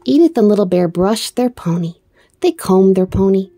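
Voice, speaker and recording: A woman storyteller giving an expressive read-aloud narration of a children's book. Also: mid-range voice, moderate pace, slightly rough, dry recording, faint music behind.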